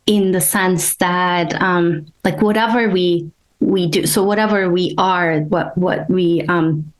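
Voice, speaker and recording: A woman from Turkey, a guest in an interview, speaking hesitantly and thoughtfully with many pauses and ums. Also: lowish voice, steady tone. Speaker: guest, energy healer. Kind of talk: casual interview talk.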